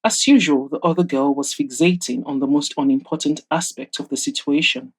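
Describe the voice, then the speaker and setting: A woman's voice: low voice, lively intonation, fairly quick, a little mellow, breathy edge. A woman reading scripted narration for an audio drama as its narrator.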